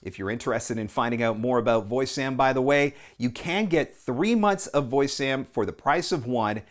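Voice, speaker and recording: A man's voice: medium pitch, expressive, normal speed. A man in his forties with a North American accent talks conversationally as a coach hosting a tutorial, stressing key words. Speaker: voiceover coach and video host. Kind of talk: casual tutorial talk to camera. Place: small indoor room at home or office. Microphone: lapel mic.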